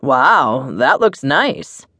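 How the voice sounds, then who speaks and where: A woman's voice: very deep voice, very animated, extremely slow, sharp tone, dry recording, full character voice. A woman voicing an eager, agreeable husband speaking to his wife in a story.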